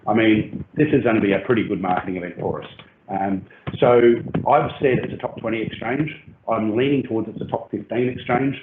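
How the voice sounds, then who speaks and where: A man's voice: lowish voice, a little fast. A man leading a crypto project's question session, explaining at length with frequent ums and restarts, heard over an online call. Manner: hesitant, many ums and restarts. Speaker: crypto project team lead. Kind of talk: explanatory AMA talk. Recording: tinny phone-like call audio, roomy and noisy.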